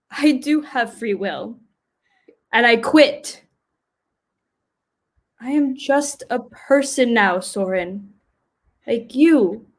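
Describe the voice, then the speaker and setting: Woman as a very slow, gentle former Death. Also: sits high, heavily acted. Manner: halting, earnest, deliberate. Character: gentle, remorseful former Death, now an ordinary person. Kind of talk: theatrical dialogue in a staged reading.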